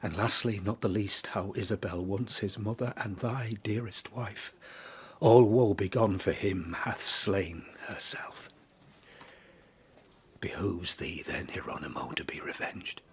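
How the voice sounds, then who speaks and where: An actor playing a grieving, vengeful middle-aged court official in a classical verse radio drama, intense and commanding, sometimes dropping to a hush. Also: male voice, fairly low pitch, measured pace, breathy edge. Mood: brooding, vengeful. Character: grieving, vengeful Spanish father and court knight-marshal. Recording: music under the voice.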